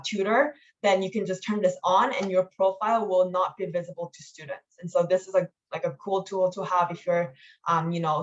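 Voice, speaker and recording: A woman leading an online training meeting, explaining steps informally in a casual, halting way over lo-fi call audio. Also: on the low side. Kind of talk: informal instructional walkthrough with slides.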